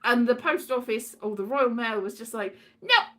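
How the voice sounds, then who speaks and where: A British woman telling casual, rambling comic anecdotes in an animated, theatrical way, acting out exchanges as she goes. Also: on the high side, moderate pace. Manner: animated, theatrical, acts out exchanges, breaks into sing-song. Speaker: solo host of an audio show.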